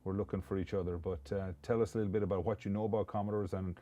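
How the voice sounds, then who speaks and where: A man with a low, mellow voice hosts a casual podcast interview. He talks in a chatty, rambling way, often restarting his sentences. The sound is close and dry. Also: steady tone, rapid-fire, no room sound. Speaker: podcast host.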